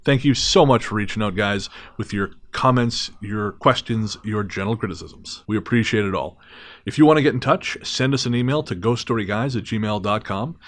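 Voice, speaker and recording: A man's voice: on the low side, normal speed. A man of thirty-six hosting a podcast in a casual, chatty style, earnest with a wry joking streak. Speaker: podcast host.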